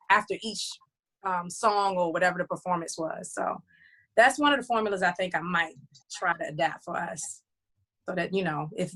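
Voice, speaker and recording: A woman recording artist talks through her plans in a loose, chatty interview style, stopping and restarting her sentences with plenty of fillers. Her voice sits in an ordinary middle range for a woman, with no marked highs or lows. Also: a bit bright, low-quality recording. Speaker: recording artist and label head. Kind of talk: casual interview chat.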